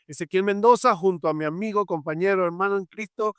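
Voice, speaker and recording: A man's voice: high-pitched, fast talker, clear, resonant tone, no echo, clean studio sound. Venezuelan man hosting a faith podcast. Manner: warm welcoming, many fillers and restarts. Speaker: podcast co-host.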